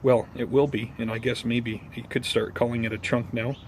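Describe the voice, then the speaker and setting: A man chats casually to viewers as the host of an outdoor gardening vlog. His voice sits at a medium pitch, and he talks in an unhurried, slightly halting, informal way. Faint ambient and vehicle noise runs behind him. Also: level tone, low-quality recording.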